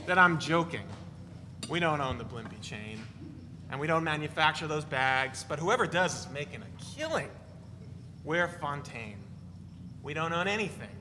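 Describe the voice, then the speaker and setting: A man's voice: a little high, animated, normal speed, a little hiss or hum. An actor reading the part of a joking young man who spins tall tales over dinner, recorded live in a reverberant hall. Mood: teasing. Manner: bright, teasing, rambling banter. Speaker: reader in a staged reading.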